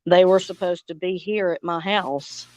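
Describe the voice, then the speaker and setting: A woman's voice: fairly low pitch, normal speed, a little mellow, dry recording, muffled recording. A woman hosting a casual live chat stream, talking informally with her guests.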